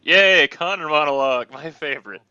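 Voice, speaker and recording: A man's voice: a little high, moderate pace, bright, forceful tone, clear, resonant tone, no echo, dull audio. A man giving casual gaming commentary in a sardonic, grumbling, profane way. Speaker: Let's Play commentator.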